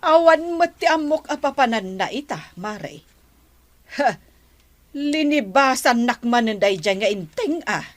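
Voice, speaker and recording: Radio drama casting: sharp-tongued, suspicious wife, high-pitched and very animated, Ilocano Filipino accent. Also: female voice, a little slow. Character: scolding, suspicious village wife.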